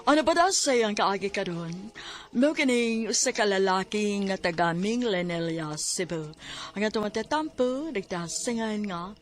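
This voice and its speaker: A woman announcing a radio drama program, drawn-out and formal. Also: mid-range voice, expressive.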